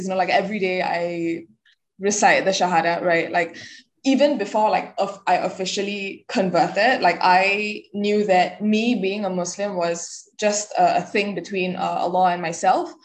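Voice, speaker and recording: A young woman from Singapore with a Singaporean English accent, chatting casually as a podcast guest and filling her speech with "like". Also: lowish voice. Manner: chatty, many "like" fillers. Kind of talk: casual interview chat.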